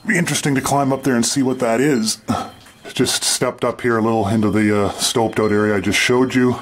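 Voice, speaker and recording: A man from Canada giving casual, halting running commentary as he explores underground and describes what he sees. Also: mid-range voice, fairly even intonation. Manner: halting, unscripted descriptions of surroundings. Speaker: mine explorer and video host. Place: underground in an abandoned mine tunnel.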